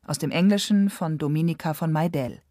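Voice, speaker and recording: A woman's voice: low voice, fairly quick, mellow, no echo, clean studio sound. A woman radio announcer reading formal scripted closing credits.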